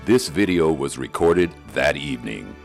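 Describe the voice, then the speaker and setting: Very deep-voiced male narrator. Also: animated, creaky, music in the background. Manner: measured, formal read. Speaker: documentary narrator. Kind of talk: scripted narration.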